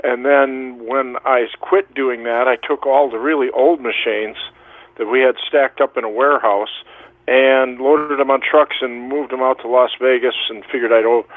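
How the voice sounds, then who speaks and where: A man's voice: medium pitch, fairly even intonation, unhurried, no room sound. An older man being interviewed on a phone-like radio line, telling his story casually in a plainspoken, self-deprecating way. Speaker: interview guest, pinball museum founder.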